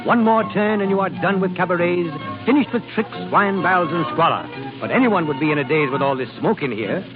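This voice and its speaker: Tinny old-radio suave gentleman duke, man acting. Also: high-pitched, a little fast, close to an everyday voice. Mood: impatient, wry. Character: elegant, refined gentleman duke, impatient and businesslike. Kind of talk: 1930s radio drama acting. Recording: tinny 1930s radio broadcast, music behind.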